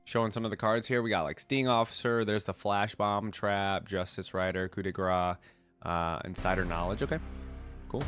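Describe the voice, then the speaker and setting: A man's voice: fairly low pitch, steady tone, moderate pace. A man congested from a cold, giving casual, informal reaction commentary as a video host, thinking aloud as he goes. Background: loud music from the videos watched.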